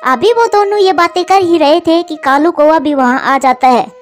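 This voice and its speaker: A woman's voice: very high voice, moderate pace. A woman narrating a scripted cartoon story for children.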